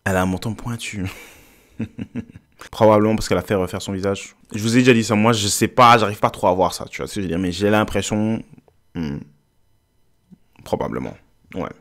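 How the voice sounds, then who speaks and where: A man's voice: fairly quick, pauses often, fairly bright tone. Young man mumbling jokey commentary. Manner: joking, trails off into low mumbles. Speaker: streamer reacting to a video. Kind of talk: casual commentary.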